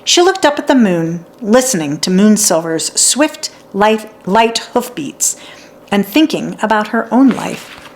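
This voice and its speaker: A woman narrating a children's story in an expressive read-aloud style for young listeners. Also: medium pitch, a little slow, a little hiss or hum, poor audio. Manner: acts out dialogue and sounds out letters.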